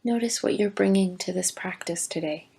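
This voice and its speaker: A woman teaching yoga nidra, giving gentle, deliberate guided meditation instructions with long pauses. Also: on the low side, gravelly, husky. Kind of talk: slow guided meditation narration.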